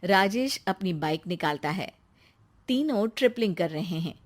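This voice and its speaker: A woman's voice: medium pitch, expressive, moderate pace. A woman reading scripted narration for a moral story.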